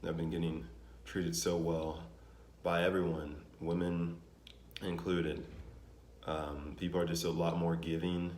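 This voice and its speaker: A young man with a very deep, low voice talks loosely to camera, circling back with fillers and restarts. The room is bare and echoey, and a faint hum of ambience sits behind him. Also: a little slow. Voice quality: naturally deep voice. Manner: relaxed, rambling, with many fillers and restarts. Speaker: vlogger. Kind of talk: casual vlog talk to camera. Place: home in Denver.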